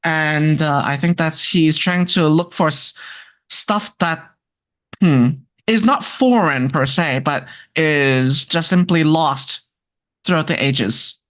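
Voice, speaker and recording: A speaker with a medium-pitched voice discusses things in an informal, scholarly way. The delivery is hesitant, with pauses to search for the right word. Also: fairly bright tone. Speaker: textbook author and music researcher.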